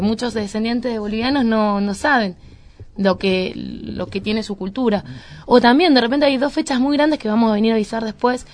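A woman with a Buenos Aires-area Argentine accent chatting in a radio interview in a rambling, colloquial way full of little tag words. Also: fairly high pitch. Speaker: band member, preschool teacher. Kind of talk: casual radio interview chat. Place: radio studio.

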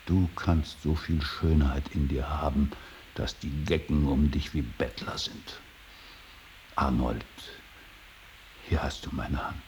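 Casting: stern, insistent middle-aged father, radio drama; very low, slow, solemn delivery. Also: fairly even intonation. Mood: grave, probing. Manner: solemn, insistent, halting, swinging from hushed to forceful. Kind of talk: 1980s German radio drama acting. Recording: faint tape hiss.

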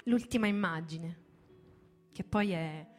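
A woman giving a dramatic, lyrical reading of a narrative, delivered in deliberate, short poetic phrases. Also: lowish voice. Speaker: storyteller, performer. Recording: music behind the voice. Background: background music.

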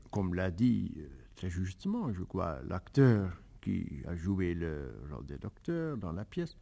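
A man from the Netherlands, answering as an expert doctor in a careful, halting, emphatic way. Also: on the low side, creaky, a little mellow, slightly rough.

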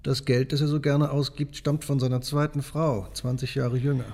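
A man's voice: mid-range voice, flat delivery, moderate pace, a little mellow, close to an everyday voice. Actor as shrewd, sardonic male parliamentarian. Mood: knowing. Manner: dry, sardonic, knowing. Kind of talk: German radio drama acting.

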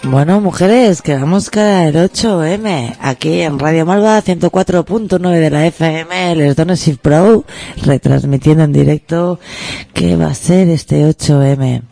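A woman's voice: low-pitched, a little slow, creaky, dark tone, soft background music. A woman co-hosting a live radio show, chatting casually and reflectively, sometimes trailing off with sighs. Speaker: radio co-host. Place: radio studio.

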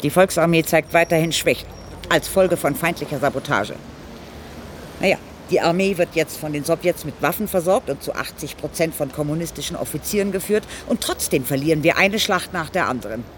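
Stern militant mother acted by a woman, deep-voiced. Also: a little fast, a little hiss or hum, close to an everyday voice. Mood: cold, stern. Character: stern middle-aged Spanish communist mother, hard-line militant. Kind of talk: radio play acting.

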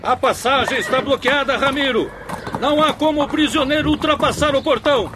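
Um ator interpretando um guarda espiritual zeloso, que fala de modo formal e sério numa radionovela. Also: male voice, very high-pitched, brisk, noisy background. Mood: urgent. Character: dutiful, formal spirit guard.

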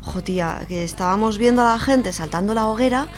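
A woman's voice: medium pitch, brisk, close to an everyday voice. A woman with an accent from Spain playing a chummy, slangy, sympathetic young friend in an amateur radio soap. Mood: chatty, hesitant. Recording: faint music behind.

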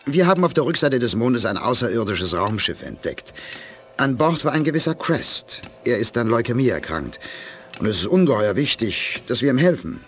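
An actor playing a brash, plucky space pilot in a German science-fiction radio drama, blunt and emphatic. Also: male voice, mid-range voice, big pitch swings, moderate pace, faint music behind, lightly acted. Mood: earnest, urgent.

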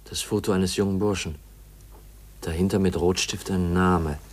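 A man's voice: deep voice, fairly even intonation, moderate pace, natural voice. An actor playing an earnest, bitterly disillusioned young man in a 1950s German radio drama. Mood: grave. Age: young adult. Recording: 1950s radio recording, faint noise, clicks.

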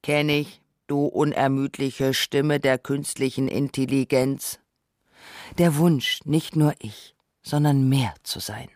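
A woman playing a thoughtful, knowing essayist, speaking scripted radio narration in a measured, reflective way. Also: extremely low pitch, fairly even intonation, a little mellow, no echo, clean studio sound.

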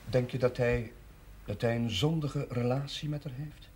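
A man playing a gentle, concerned family friend who speaks haltingly in a naturalistic television drama. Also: medium pitch, steady tone, husky, close to an everyday voice. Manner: hesitant, halting. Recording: noisy old television drama soundtrack.

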